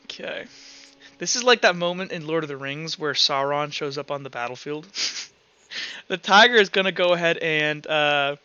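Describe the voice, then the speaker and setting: A man's voice: fairly high pitch, moderate pace, bright, forceful tone, clean tone, dry recording, highs cut off. A man working as game master, narrating and refereeing an online tabletop game in a casual, profane way. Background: music.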